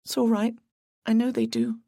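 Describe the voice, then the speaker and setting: A woman's voice: flat delivery, moderate pace, creaky, warm tone, no room sound, clean studio sound. A woman voicing a timid, tearful young woman in her twenties who sounds anxious and gushing. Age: 20s. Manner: high, sniffly, anxious and gushing.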